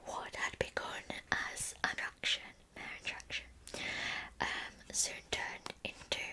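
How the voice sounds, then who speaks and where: A woman speaking in a soft, unvoiced whisper as an ASMR host narrating a true crime story. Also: poor audio. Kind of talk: whispered ASMR storytelling, reading with chatty asides.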